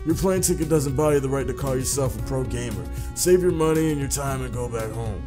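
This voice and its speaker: A man's voice: medium pitch, brisk, music in the background, lightly acted. A man voicing a condescending, taunting rival pro gamer from a visual novel game. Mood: scornful.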